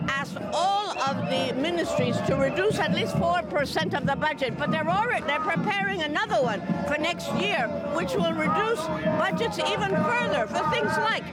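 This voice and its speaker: A woman's voice: high voice, expressive, normal speed, few pauses, rough recording. A woman reporting live on location outdoors, explaining what is around her. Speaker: field news reporter. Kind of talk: on-location piece to camera. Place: outdoors at a street protest. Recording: loud crowd and music outdoors.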